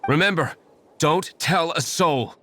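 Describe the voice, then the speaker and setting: A man's voice: a little high, takes it slowly, sharp tone, faint music behind, full character voice. A man playing an aloof, brooding vigilante nobleman in a video game, speaking coolly and formally with disdain. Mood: stern, secretive. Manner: cool, curt, formal, disdainful. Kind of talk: video game character acting.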